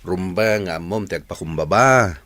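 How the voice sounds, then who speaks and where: A man's voice: low-pitched, moderate pace, a bit bright, no echo. A man with an Ilocano accent playing a frank, reluctant, apologetic villager in a radio drama.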